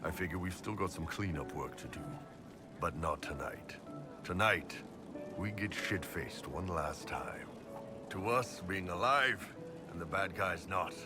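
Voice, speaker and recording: Very deep, gruff mercenary brute. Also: male voice, lively intonation, a little slow. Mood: jovial, swaggering. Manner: blunt, laid-back swagger. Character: big gruff, jovial horned mercenary warrior. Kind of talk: dramatic game dialogue. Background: music.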